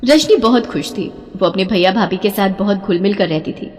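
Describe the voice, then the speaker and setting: A woman's voice: mid-range voice, moderate pace, a little mellow, in a large hall. A woman narrating a scripted dramatic story.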